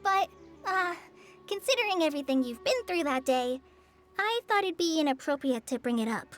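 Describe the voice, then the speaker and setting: A woman's voice: high voice, animated, moderate pace, faint music behind. A woman voicing a shy, earnest teenage girl ranger in an anime-style video game, speaking politely with a hesitant, apologetic manner. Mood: sheepish.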